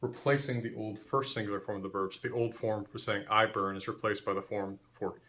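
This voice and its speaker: A man explaining language history in a measured, clear, professorial lecture. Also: lowish voice, fairly even intonation, a bit bright.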